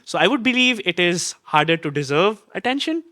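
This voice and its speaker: A man speaking on a live panel in a playful, jokey and energetic way. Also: high voice, brisk, no echo, clean studio sound. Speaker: panelist, video marketer. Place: convention stage.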